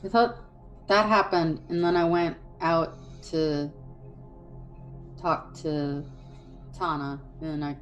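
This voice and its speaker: A hoarse woman playing in a tabletop game, talking in a halting, casual way. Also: low voice, steady tone, dull audio, soft background music. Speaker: player. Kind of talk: casual chat.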